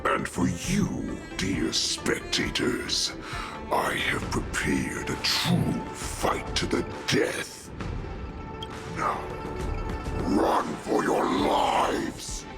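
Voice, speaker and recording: An actor playing a savage, bloodthirsty male wolf-beast warlord in a video game, gravelly, theatrical and taunting. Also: a little high, huge pitch swings, drawn-out words, music in the background, heavily acted. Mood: menacing glee. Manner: menacing, theatrical villain. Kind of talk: video game villain acting.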